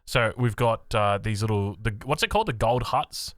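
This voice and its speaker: An Australian man reacting to a video as he watches, excitable and thinking aloud, cutting in on himself. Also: lowish voice, normal speed, dry recording, studio quality. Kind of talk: casual reaction commentary.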